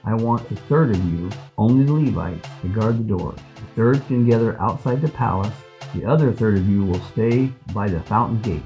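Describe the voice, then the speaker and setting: An actor playing a kindly, steadfast old priest in a scripted Bible audio drama, speaking in a measured, earnest way. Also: male voice, on the low side, mellow, natural voice, poor audio. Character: kindly, steadfast old male priest guiding a child king.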